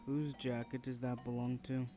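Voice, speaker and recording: A man's voice: medium pitch, level tone, normal speed, warm tone, soft background music, natural voice. A man voicing a rude, protective older brother in an audio roleplay, blunt and confrontational. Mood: suspicious. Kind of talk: amateur audio roleplay acting.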